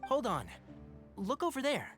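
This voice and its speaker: Lively-pitched young male game character, gentle, thoughtful, earnest, faint music. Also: a little slow, heavily acted. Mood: focused. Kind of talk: video game voice acting.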